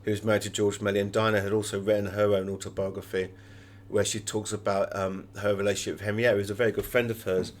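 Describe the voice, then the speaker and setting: A man's voice: fairly low pitch, level tone, fast, hardly any pauses. A man giving conversational, anecdotal answers as an author being interviewed.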